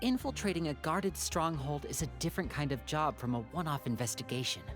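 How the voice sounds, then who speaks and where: An actor playing a composed, watchful young man in a video game, speaking politely and deliberately, with music behind. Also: a little high, expressive, lightly acted. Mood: composed, businesslike. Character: composed, courteous, watchful young man, protective older brother.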